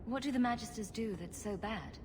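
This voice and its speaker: A woman's voice: on the high side, fairly quick, noisy background, lightly acted. A woman voicing a wry, sarcastic, inquisitive female rogue adventurer in a video game. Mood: curious, wry.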